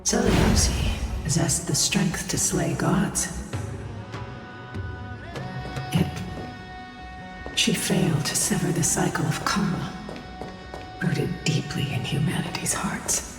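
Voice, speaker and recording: Deep, hushed, solemn oracle. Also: female voice, slow, whispered. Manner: hushed, portentous. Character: solemn female narrator of ancient prophecy. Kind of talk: English video game voice acting. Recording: echoey hall reverb over music.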